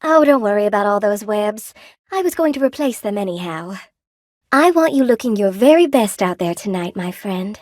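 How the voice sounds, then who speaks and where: An actress voicing a gentle, lonely spider-woman shopkeeper in a video game, polite and sweetly attentive, with old-fashioned genteel phrasing. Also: mid-range voice, lively intonation, moderate pace, no echo, clean studio sound, natural voice. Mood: warm, welcoming. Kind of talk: video game character voice acting.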